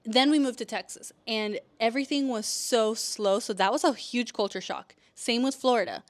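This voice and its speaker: A woman's voice: a little high, moderate pace. A woman in her thirties, born in El Salvador and raised in California, chatting casually to camera in a vlog, with lots of fillers and restarts. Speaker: vlogger.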